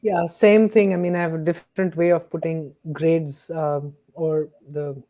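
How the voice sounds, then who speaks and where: Mellow panelist in conversational answers. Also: medium pitch, level tone, unhurried. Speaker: panelist, employer.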